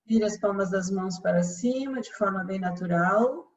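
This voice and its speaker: A Brazilian woman guiding a relaxation meditation as a yoga instructor, speaking calmly and soothingly with long pauses. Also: mid-range voice, muffled recording.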